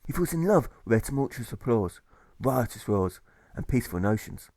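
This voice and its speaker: A male narrator with a mid-pitched voice reads rhyming prose as an audiobook. His phrasing is rhythmic and measured, stressing the rhymes, recorded close and dry. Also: very animated, gravelly, a little mellow, dry recording. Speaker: author reading own audiobook.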